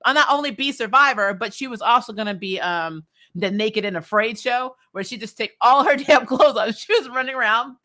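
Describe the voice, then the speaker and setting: Bright-voiced woman chatting through a review. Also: on the high side. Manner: animated, laughs often while talking. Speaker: host of a TV show recap series. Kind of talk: casual, chatty episode review.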